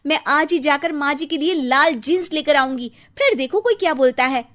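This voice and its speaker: A woman voicing a young, modern Indian daughter-in-law in a Hindi cartoon story, pleading and quick to protest. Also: sits high, a bit bright. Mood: indignant.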